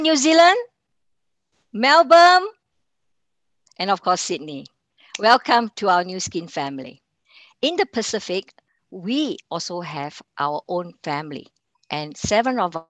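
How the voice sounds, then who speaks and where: A middle-aged woman from Singapore with a Singaporean accent, running an online sales training over a video call, talking haltingly with many fillers and restarts. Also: fairly high pitch, a bit bright, no echo. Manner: halting delivery with frequent fillers and restarts, quizzing the audience. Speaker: account manager and sales trainer.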